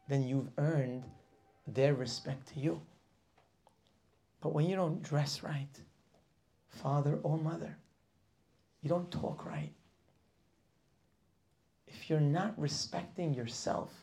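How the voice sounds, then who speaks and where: Gravelly, impassioned Torah class teacher man. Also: fairly high pitch, mellow. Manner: impassioned preaching with rhetorical questions, dropping to near whispers. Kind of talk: weekly Torah class lecture.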